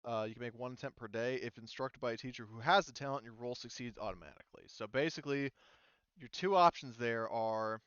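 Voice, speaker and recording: Guy game master going over rules, smooth clean voice, dry slightly dull audio. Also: medium pitch, fairly quick, fairly bright tone, no room sound. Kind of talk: casual explanation of tabletop game rules.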